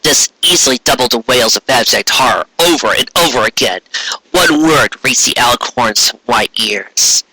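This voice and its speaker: A man's voice: medium pitch, lively intonation, moderate pace, pushed and bright, breathy edge, no room sound, poor audio. A man reading a scripted fantasy story aloud in dramatic narration, now and then stumbling over words. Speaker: narrator reading fan fiction.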